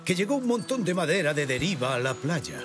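A genial gentleman zebra explains helpfully in a Castilian accent. His intonation is lively, in preschool cartoon style, with music clearly playing behind. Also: on the high side, brisk. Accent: Castilian Spanish.

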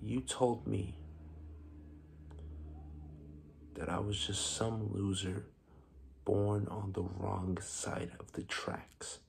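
A man acting a theatrical monologue as a bitter, menacing self-made Italian businessman out for revenge, speaking deliberately with pointed pauses in a husky voice. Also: fairly low pitch, slight background noise. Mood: bitter, hushed.

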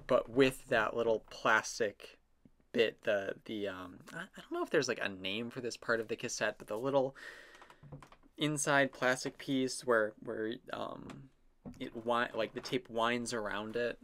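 A man's voice: mid-range voice, measured pace, a bit bright. A man narrating his own drawing process in a casual, unscripted voice-over, rambling and self-amused as his musings trail off.

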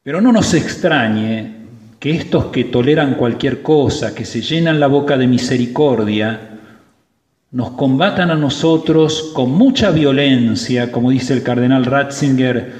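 Slow-preaching priest in an echoing church. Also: male voice, mid-range voice, a little mellow. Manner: slow, emphatic preaching with rising stresses. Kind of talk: sermon at Mass. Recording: roomy church with long reverb.

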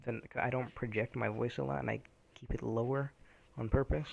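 A young man chatting casually over gameplay, rambling and self-deprecating, with a low, slightly raspy voice he keeps quiet on purpose. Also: fairly even intonation, fairly quick, highs cut off, slight background noise. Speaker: gaming commentator. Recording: game music underneath.

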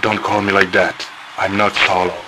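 A man's voice: low-pitched, flat delivery, normal speed, over loud music, close to an everyday voice, low-quality recording. A man playing a defiant, petulant young masked villain in a cartoon parody. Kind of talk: cartoon parody character acting.

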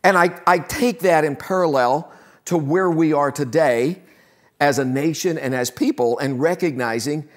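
A man's voice: fairly high pitch, normal speed. An older man preaching a sermon in a conversational, earnest way, with frequent "uh" fillers, from a living-room church set. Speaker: pastor.

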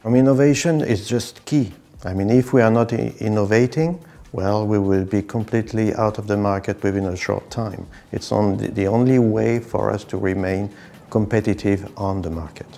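Low, mellow-voiced male company executive. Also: fairly even intonation, moderate pace, vocal fry. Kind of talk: corporate video interview.

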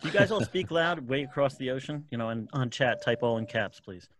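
A man's voice: mid-range voice, lively intonation, a little fast, heavy background noise. A man with a dry, joking manner, chatting casually as a guest on a video call. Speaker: podcast guest, voice actor. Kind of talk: casual chat. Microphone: microphone on a video call.

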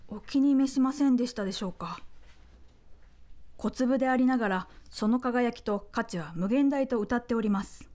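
A woman playing a composed, courteous royal envoy in a radio drama, with very polite, measured, deferential speech. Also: fairly high pitch, dark tone, lightly acted. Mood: composed diplomatic. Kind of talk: radio drama acting, formal diplomatic speech.

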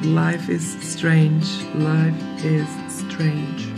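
A man in his twenties chatting casually into a handheld camera in a student flat, giggly and self-mocking. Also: a little high, drawn-out words, vocal fry. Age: 20s. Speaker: vlogger. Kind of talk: casual vlog chat. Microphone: handheld action camera. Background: background music.

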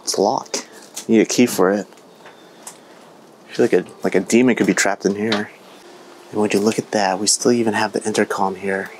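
Brief: young male vlogger, lowish voice, chatty, light hiss behind. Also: moderate pace, long pauses. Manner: chatty, excitable, jumpy. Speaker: vlogger exploring an abandoned mansion. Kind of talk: casual walkthrough vlog. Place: indoors in an abandoned house.

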